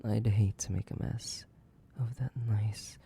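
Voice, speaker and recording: A man playing a sadistic, possessive, centuries-old vampire in a horror roleplay, theatrical and menacing, with a creaky voice that lapses into breathy whispers. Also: fairly low pitch, steady tone, measured pace, warm tone, slight background noise. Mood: hungry, coaxing. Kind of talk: dramatic horror roleplay audio, addressing the listener.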